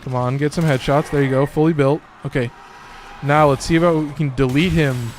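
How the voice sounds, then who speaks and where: A man with a slightly hoarse, raspy voice, giving loose and profane casual commentary while streaming a game. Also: a little high, fairly even intonation, brisk, a little hiss or hum. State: a little hoarse. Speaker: gaming streamer.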